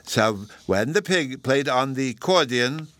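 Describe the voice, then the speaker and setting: A man voicing an eager, innocent little boy in a storybook, with artless, drawn-out childish speech. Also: mid-range voice, lively intonation, fairly bright tone, voice pushed up. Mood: storytelling.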